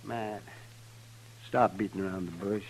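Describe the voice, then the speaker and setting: An actor playing an aging, wry and weary frontier doctor in an old-time radio western, musing with dramatic pauses. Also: male voice, fairly low pitch, fairly even intonation. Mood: weary, confessional. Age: middle-aged. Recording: noisy vintage 1950s radio recording.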